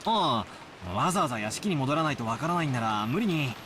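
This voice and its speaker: A man's voice: mid-range voice, very animated, very fast, natural voice. An actor playing a brash, friendly teenage boy in a visual novel, talking in a breezy, informal way. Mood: easygoing. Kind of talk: anime visual novel voice acting. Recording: clear rain noise over the voice.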